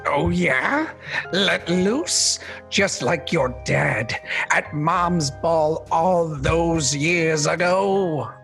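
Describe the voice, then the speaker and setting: An actor playing a gloating, theatrical villain king in a radio comedy, taunting and bombastic, with a raspy, creaky voice. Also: fairly high pitch, drawn-out words, a bit bright, soft background music, full character voice.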